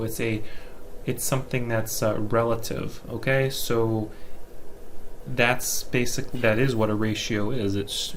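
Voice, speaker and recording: A man's voice: medium pitch, a little hiss or hum. A man giving an informal explanatory lesson as an instructor, going step by step and often checking in with "okay".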